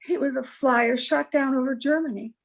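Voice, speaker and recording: A haughty, anxious young wife played by a woman in an old-time radio mystery. Her voice is high, and she delivers her lines with melodramatic pride, like someone cornered and in trouble. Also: steady tone, normal speed, vocal fry. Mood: pained, confiding. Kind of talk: 1940s radio drama acting.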